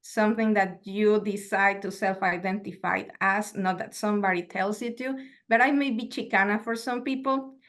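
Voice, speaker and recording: A woman's voice: mid-range voice, steady tone, a bit bright. Woman giving a reflective lecture. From Mexico. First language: Spanish. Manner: measured, reflective, asks rhetorical questions. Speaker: university lecturer. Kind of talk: academic lecture.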